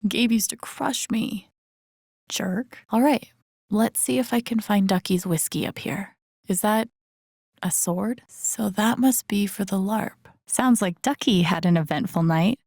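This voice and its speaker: A woman's voice: medium pitch, unhurried, vocal fry, a little mellow, dry recording. An actress with an American accent playing an introspective, wry young woman in a video game, murmuring dry asides to herself. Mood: musing. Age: young adult. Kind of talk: naturalistic game inner-monologue acting.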